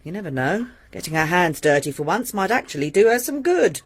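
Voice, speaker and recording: An actress playing an anxious, sharp-tongued middle-aged landlady who talks in a tense, curt way. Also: fairly low pitch, big pitch swings, a little fast, breathy edge, heavily acted. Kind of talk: radio drama acting.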